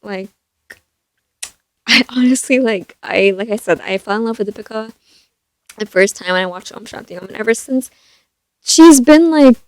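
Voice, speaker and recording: A young woman with a soft voice chatting casually about a film, rambling with lots of fillers and restarts. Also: a little high, normal speed, pauses often, no room sound. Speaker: vlogger reviewing a film. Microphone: stand mic.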